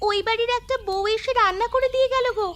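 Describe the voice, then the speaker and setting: Woman putting on a very high-pitched excitable village child. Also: fast. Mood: excited. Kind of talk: Bengali fairy tale cartoon acting. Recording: music under the voice.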